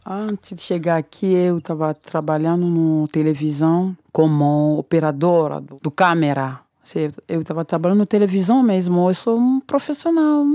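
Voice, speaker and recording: Congolese woman, 40s, French native, low and unhurried, hesitant, dry close sound. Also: mellow, no room sound. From Kinshasa, Congo. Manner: hesitant, searching for words in a second language. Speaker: interviewee. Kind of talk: personal testimony.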